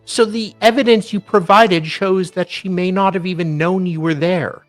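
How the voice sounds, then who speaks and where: A man's voice: high voice, fairly even intonation, normal speed, dry recording, soft background music. A man co-hosting a dramatic reading and commentary, with a theatrical, mocking way of talking. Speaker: co-host.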